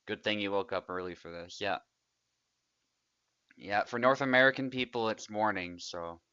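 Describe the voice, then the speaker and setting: A man's voice: fairly low pitch, moderate pace, clean tone, dry recording, lo-fi sound. A young man, casually commentating a tournament he is also playing in, chatty and laughing often. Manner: relaxed, chatty, laughs often, trails off quietly.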